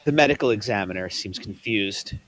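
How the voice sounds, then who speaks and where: A man's voice: lowish voice, fairly quick, crowd noise, poor audio. Man reading stage directions plainly. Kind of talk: table read narration. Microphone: online voice call.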